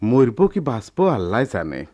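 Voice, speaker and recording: Old village father, radio drama, rural eastern Bengali dialect, very lively intonation, plaintive, deferential, worried. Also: fairly low pitch, a little fast. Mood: worried, pleading. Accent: rural eastern Bengali dialect, Bangladesh. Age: older. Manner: plaintive, folksy.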